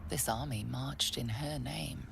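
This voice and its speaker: A woman playing an ominous, composed narrator in a fantasy game, telling the story deliberately in the second person. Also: a bass voice, heavily acted. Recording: clear background noise behind the voice.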